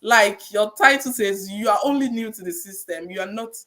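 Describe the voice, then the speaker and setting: A Nigerian-accented woman, a registered nurse, giving measured, encouraging advice in a podcast interview and repeating her key points. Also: mid-range voice, sharp tone.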